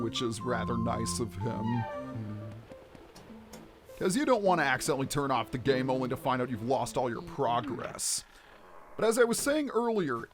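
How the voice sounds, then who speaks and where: A man hosting a let's play, explaining the game in an expressive, instructional way. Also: a little high.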